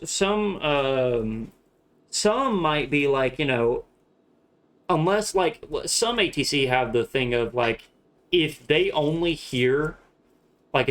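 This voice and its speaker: A young man with a Southern US accent talks loosely on a live stream. He rambles through explanations, trips over his words and laughs easily. His pitch and energy sit in an ordinary middle range. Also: a bit bright. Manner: laughs a lot, rambling and loose, swears casually. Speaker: streamer flying a shared-cockpit sim flight. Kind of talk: casual live-stream chat.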